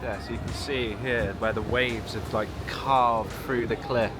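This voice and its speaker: A man's voice: medium pitch, fairly even intonation, a little slow, over loud music. A man in his thirties from the south of England, chatting casually as a travel vlogger with a reflective manner. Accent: southern English. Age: 30s. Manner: chatty, reflective, with many "like" fillers. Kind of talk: casual travel vlog chat. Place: outdoors at a windy beach. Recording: loud wind and outdoor noise.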